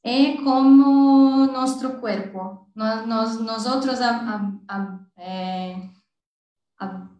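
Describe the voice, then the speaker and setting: A woman whose first language is Portuguese gives a slow, halting talk on a video call. She restarts her words often. Her voice comes from a distance, in a very echoey space. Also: fairly high pitch, warm tone. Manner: hesitant, halting Spanish with many restarts. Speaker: architect and smart cities consultant. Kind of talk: panel presentation on a video call.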